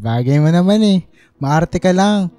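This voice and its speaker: A man's voice: high voice, animated, slow, warm tone, dry recording. A man playing a doting, teasing middle-aged father in a Filipino radio drama, affectionate and full of exclamations. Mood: fond teasing.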